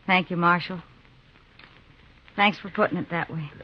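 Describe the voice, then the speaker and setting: A woman's voice: lowish voice, fairly even intonation, moderate pace, slight background noise, close to an everyday voice. An actress playing a bitter, self-reliant young American frontier woman in a 1950s radio western, curt and guarded. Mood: hurt, resolute. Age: young adult. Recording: dull, dry 1950s radio recording.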